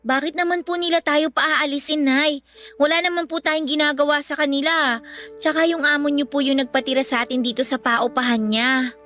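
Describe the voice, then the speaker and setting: A high, youthful voice as a respectful Filipino child in a radio drama. The child is caring and earnest, gently reassuring a parent, with faint music underneath. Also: female voice, fairly even intonation, normal speed. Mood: concerned, reassuring. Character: caring, earnest school-age child comforting worried mother.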